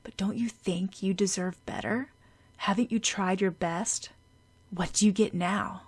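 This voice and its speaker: A woman voicing a suave, teasing teenage boy with a smooth, breathy delivery in an audiobook. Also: medium pitch, steady tone, a little slow. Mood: soft persuasion.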